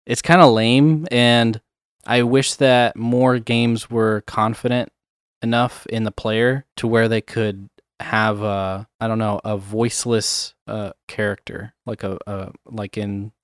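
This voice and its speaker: A man's voice: lowish voice, flat delivery, slow pace, clear, resonant tone, dry recording, studio quality. A man chatting casually about games, rambling with many fillers and chuckles. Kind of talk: casual chat.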